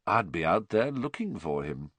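A man voicing a spiteful schoolboy bully in a storybook, taunting in a quiet, sneering drawl. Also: low voice, big pitch swings, moderate pace, dry recording, heavily acted. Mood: quietly mocking.

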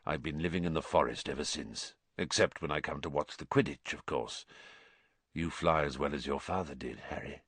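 A man voicing a gaunt, bitter fugitive with a croaky, hushed voice and a grave, intense manner in a story. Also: a bass voice, normal speed, no room sound, voice pushed down, full character voice. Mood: haunted, intense.

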